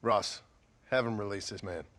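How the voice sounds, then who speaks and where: An actor playing a gruff, defiant outlaw in a video game, sardonic and blunt, with a raspy voice. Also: male voice, lowish voice, normal speed, a bit bright, natural voice. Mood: dry, blunt. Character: gruff, defiant outlaw gunman, dry and bitter man. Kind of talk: video game cutscene acting.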